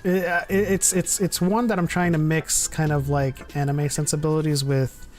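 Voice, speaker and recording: A male podcast host who talks in a loose, rambling way and keeps restarting his sentences. His voice sits at a middling pitch, with faint music playing behind him. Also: steady tone, moderate pace. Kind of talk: casual chat.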